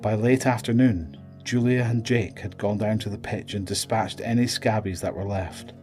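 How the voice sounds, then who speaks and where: A man narrates an audio drama in a low voice. His delivery is scripted and dramatic, and music plays behind him. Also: steady tone, moderate pace, a little mellow.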